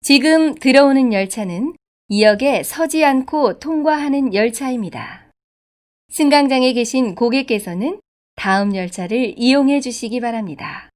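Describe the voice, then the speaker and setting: A woman reading scripted subway station announcements in a formal, polite, measured way. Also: high-pitched, animated, darkish tone. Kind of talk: scripted public transit announcement.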